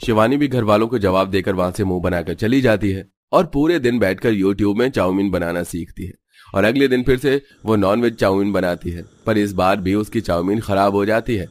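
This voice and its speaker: Low-voiced male story narrator. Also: moderate pace, dry recording, clean studio sound. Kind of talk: scripted Hindi cartoon story narration.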